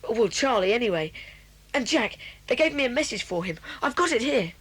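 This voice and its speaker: An actress voicing a nervous young schoolboy who whispers anxiously and stammers. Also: female voice, fairly high pitch, expressive, a little fast, fairly bright tone, breathy edge. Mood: agitated.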